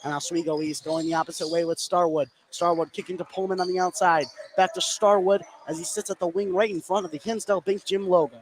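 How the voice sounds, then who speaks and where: A man calling live high school basketball play-by-play from an indoor gym, running through the action and getting excited on big plays. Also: quick pace, few pauses. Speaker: play-by-play commentator. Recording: noisy live gymnasium ambience.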